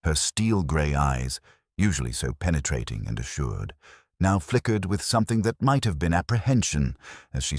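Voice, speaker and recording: Very deep male mystery narrator. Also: slightly rough, dry recording, studio quality. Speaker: audiobook narrator. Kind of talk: scripted mystery story narration.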